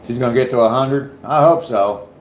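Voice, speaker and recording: A man from Ohio with a mild southern Ohio accent and a deep voice, chatting casually on his livestream and answering viewers' comments. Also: normal speed, reverberant, slight background noise. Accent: southern Ohio, slight Appalachian touch. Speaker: livestream host.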